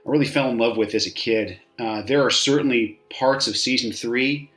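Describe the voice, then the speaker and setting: A man's voice: medium pitch, normal speed, a bit bright, faint music behind. A roomy, echo-heavy recording of a man with a mid-range voice. He talks casually, like a listener sending in his own commentary. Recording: echoey, roomy sound.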